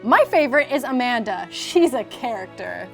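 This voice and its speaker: Woman host w a highish voice, tongue in cheek scripted storytelling, music playing behind her. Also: very animated, normal speed, creaky, a bit bright. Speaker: host of a comedy fairy tale series. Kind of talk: scripted comedic storytelling to viewers.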